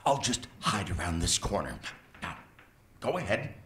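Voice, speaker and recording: An actor playing a sinister, scheming older male mad scientist who talks in a slow, sly drawl with purring pauses. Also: lowish voice, big pitch swings, a bit bright. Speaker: research scientist. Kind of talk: amateur radio-play acting. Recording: roomy echo, faint hiss.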